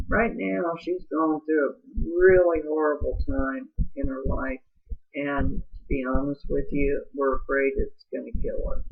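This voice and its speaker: A middle-aged woman with a low, rough-sounding voice, telling her life story in an earnest, confiding way and speaking straight to the listener. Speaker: vlogger. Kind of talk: personal life story monologue. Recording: tinny phone-like audio.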